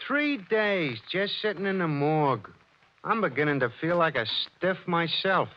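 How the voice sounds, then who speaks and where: An actor playing a complaining, jittery detective sidekick in a 1940s radio drama, on a muffled old recording. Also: male voice, a little high, expressive, a little slow. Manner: complaining, whiny.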